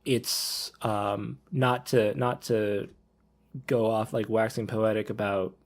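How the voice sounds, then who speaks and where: A man's voice: on the low side, little pitch movement, slow pace. A thirty-year-old man talking casually on a panel discussion, rambling as he repeats and rephrases himself. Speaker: podcast panelist.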